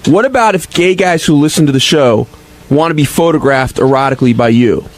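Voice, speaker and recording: A man's voice: a little high, animated, fairly quick. A man joking bluntly and casually in talk show banter.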